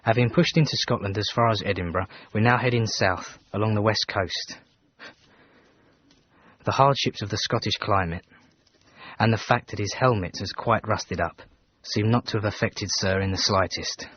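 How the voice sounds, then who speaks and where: Man's voice, Edwardian servant, dutiful, disapproving, dry, formal, husky, dulled radio recording. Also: lowish voice, normal speed, dull audio. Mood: weary, formal. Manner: formal, dry, deferential but reproachful. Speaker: actor in a radio play. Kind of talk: BBC radio period drama, letter narration.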